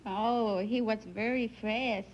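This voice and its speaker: A young woman with a Greek accent talks as a quiz contestant in halting, broken English. Her pace is very slow and her voice fairly high. The vintage recording sounds dull. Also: steady tone. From Greece. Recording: dull 1950s broadcast with faint noise.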